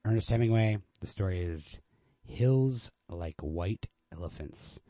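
A man with a low, mellow voice, recorded close and dry. He sounds informal and unrehearsed, like a host casually reading a story aloud. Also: lively intonation, a little slow, dry recording. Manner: informal, self-deprecating, unrehearsed.

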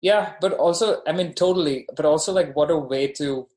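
A man's voice: fairly high pitch, a little fast, darkish tone. A man who grew up in Dubai, talking casually, earnestly and emphatically as a guest on a podcast. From born in Bahrain, grew up in Dubai. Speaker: podcast guest, company founder. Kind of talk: casual conversational interview.

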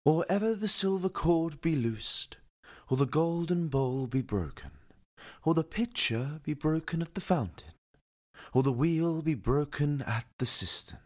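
A man playing a solemn priest in an audio play, reciting funeral rites in a grave, measured way. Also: a little high, darkish tone.

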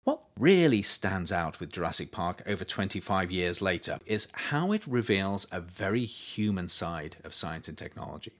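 A man reading his own nonfiction book aloud as an audiobook, in a measured, expressive way. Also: low voice. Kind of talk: audiobook narration.

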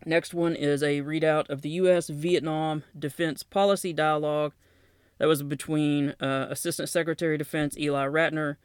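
A man's voice: a little high, clear, resonant tone, no echo, studio quality. A man with a Southern accent hosting a podcast, talking casually through defense news and reading press releases aloud with plain asides. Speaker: podcast host.

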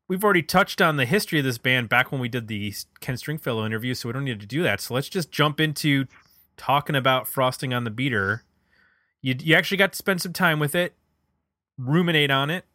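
A man's voice: medium pitch, bright, forceful tone, dry recording, studio quality. A man hosting a podcast in a casual music review chat, thinking aloud in a conversational way. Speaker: podcast host.

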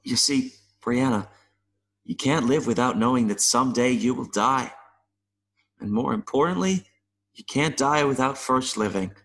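Man voicing a slow-talking, gentle, wistful mayfly. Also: mid-range voice. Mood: gently philosophical. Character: gentle, wistful mayfly longing to see the world. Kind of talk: audio play acting.

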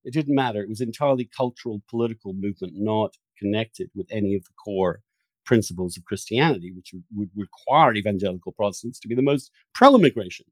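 A man hosting a podcast, talking through opinionated political points in a halting, emphatic way, restarting phrases as he goes. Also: lowish voice, dry recording, rough recording. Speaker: podcast host.

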